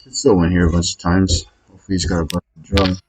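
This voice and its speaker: A man's voice: deep voice, little pitch movement, fast, slight background noise, low-quality recording. A man muttering his way through casual think-aloud commentary as he plays online poker, hesitant and questioning himself. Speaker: online poker player recording own session.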